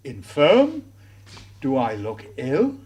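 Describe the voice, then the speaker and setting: A man from Wales, speaking politely and deliberately as a visitor in a comedy series. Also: medium pitch, huge pitch swings, darkish tone, slight background noise. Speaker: visiting foreigner.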